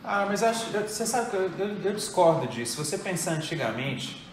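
Fast-talking man in an echoey kitchen. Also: sits high, sing-song. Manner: fast, argumentative, acts out quoted voices. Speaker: guest. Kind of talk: casual chat among friends. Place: home kitchen, indoors. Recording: echoey kitchen with music behind.

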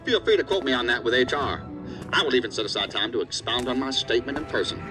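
A man acts out a hard-bitten federal agency boss in a sci-fi audio drama. He is stern and profane, scolding at a brisk clip. Music plays clearly behind his medium-pitched voice. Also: natural voice. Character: angry, hard-bitten male assistant director of investigative agency.

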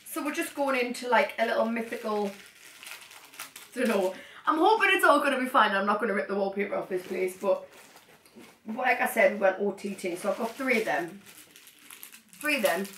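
A woman's voice: on the high side, normal speed, pauses often, a little hiss or hum. Chatty woman in an echoey room. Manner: chatty, excitable, rambling asides. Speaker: vlogger. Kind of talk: casual haul chat to camera. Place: cluttered living room, sitting on the floor. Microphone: far camera. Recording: echoey room, mic at a distance.

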